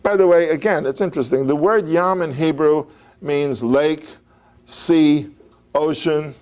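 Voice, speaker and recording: A man's voice: fairly high pitch, measured pace, darkish tone, smooth. An older man giving an informal, digressive lecture on biblical history, talking conversationally with fillers and restarts.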